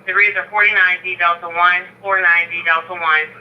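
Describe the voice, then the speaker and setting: Male dispatcher, high register, procedural code-heavy delivery, muffled radio channel. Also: flat delivery, brisk. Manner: procedural, repeats codes and numbers. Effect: two-way radio. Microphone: radio heard through scanner. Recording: dull, lo-fi police scanner feed.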